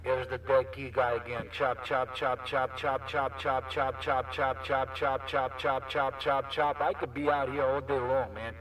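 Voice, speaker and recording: A man's voice: mid-range voice, flat delivery, unhurried, few pauses, a bit bright, a little hiss or hum. A man chatting casually and repetitively in slang over a CB radio transmission. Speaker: CB radio operator. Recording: distant-sounding CB radio reception.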